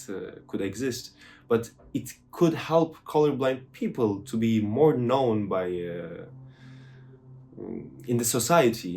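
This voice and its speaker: A man's voice: medium pitch, darkish tone. A young man with a Turkish accent, answering interview questions thoughtfully, with many fillers and restarts. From Turkey.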